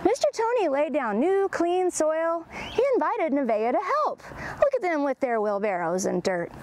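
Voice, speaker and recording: A middle-aged woman hosting a children's storytime and reading a picture book aloud in an animated, encouraging way. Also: sits high, normal speed. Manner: animated, exclaiming, encouraging, simple phrasing for young children. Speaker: library storytime host. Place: outdoors in a leafy garden. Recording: clear outdoor background noise.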